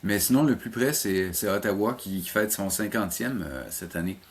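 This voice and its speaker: Low-pitched Québécois man, hesitant talker. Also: moderate pace. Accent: Québécois French. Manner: hesitant, searching, often repeating words. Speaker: animation teacher being interviewed. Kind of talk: casual interview answers.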